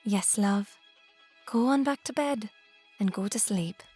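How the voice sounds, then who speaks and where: A woman's voice: mid-range voice, slow pace, darkish tone, breathy edge, faint music behind. A woman playing a cruel, gloating immortal enchantress in an audio drama, taunting and theatrical, savoring her words. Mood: soothing, falsely sweet. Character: gloating, cruel immortal enchantress who transforms people into swans.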